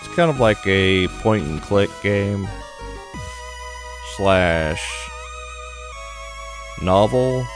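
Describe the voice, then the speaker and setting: Low-pitched man with game music behind. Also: expressive, very slow, pauses often. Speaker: gaming video host. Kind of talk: game playthrough commentary, reading on-screen story text.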